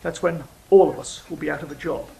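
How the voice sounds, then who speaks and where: An actor playing a sly, cynical fallen angel in an audio drama, speaking in a measured, philosophical, teasing way. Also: medium pitch, fairly even intonation, vocal fry, mellow, rough recording. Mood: worldly, dismissive. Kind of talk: theatrical audio drama dialogue and monologue.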